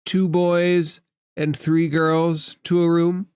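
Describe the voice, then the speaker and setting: Guy doing helpful old man for a tabletop game, polite, higher pitched, dry sound. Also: little pitch movement, slow, darkish tone, clean tone, no echo. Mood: politely offering. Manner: polite, obliging, formal. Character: helpful old man with a cane, non-player character.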